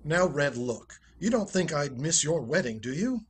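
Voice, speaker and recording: A suave, teasing rogue of an ex-husband played by a man from a radio comedy. The delivery is wry and breezy, with very lively intonation. Faint noise and clicks sit on the home recording. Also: fairly high pitch, normal speed. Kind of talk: 1940s radio comedy acting.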